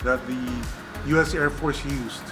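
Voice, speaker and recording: A man's voice: mid-range voice, fairly even intonation, measured pace, music in the background. A middle-aged man, an airport staff member, giving polite and good-humoured answers as a tour guest. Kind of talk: friendly interview answers.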